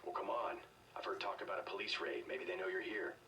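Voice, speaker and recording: An actor playing a calm, gruff secret agent in a video game, speaking in a terse, deadpan way. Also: male voice, deep voice, fast talker, distant mic, a little hiss or hum. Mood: wary, resolute.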